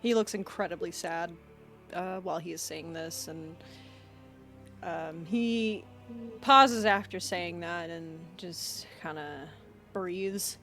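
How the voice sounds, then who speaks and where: A woman's voice: on the low side, slow, a bit bright, faint music behind. A woman narrating a tabletop game as game master, casual and hesitant. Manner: casual, hesitant, filler-heavy.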